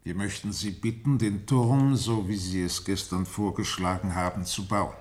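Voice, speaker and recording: A man playing a formal, firm spokesman of a community in a scripted German radio play, speaking deliberately, courteous but insistent. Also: lowish voice, steady tone, natural voice. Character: formal, firm male spokesman of a primitive tower-obsessed community.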